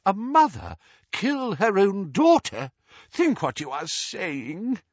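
A man voicing a frail, easily distressed elderly wizard in a storybook, earnest and feebly protesting in a trembling, bleating croak. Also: very high-pitched, measured pace, pushed and bright, dry recording, highs cut off, voice pushed up, full character voice. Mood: horrified.

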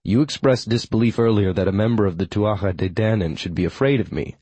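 A man's voice: fairly low pitch, a little fast, mellow, slightly rough, no echo. A man voicing a wary, wry male druid in an audiobook, polite but blunt and dry as he negotiates firmly. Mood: firm warning.

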